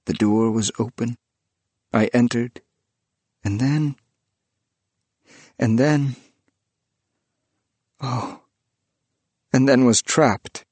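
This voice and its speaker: A man's voice: medium pitch, extremely slow, pauses often, a little mellow, dry recording, pitched above the performer's own voice. A man voicing a frightened, mournful male ghost who speaks in a trembling, pleading way.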